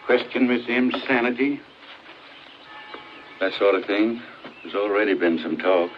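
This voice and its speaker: An actor playing a small-town doctor, matter-of-fact and measured, in a 1940s film drama. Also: male voice, on the low side, natural voice. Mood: cautionary. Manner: measured, plain-spoken. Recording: muffled, hissy 1940s film soundtrack.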